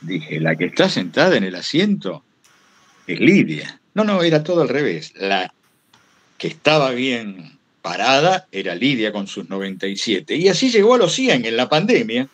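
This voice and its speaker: A man's voice: medium pitch, sing-song, normal speed, a bit bright, breathy edge. A man with a Buenos Aires Argentine accent telling anecdotes at a public talk, digressing and correcting himself as he goes. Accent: Buenos Aires Argentine Spanish. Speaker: interview guest, radio host.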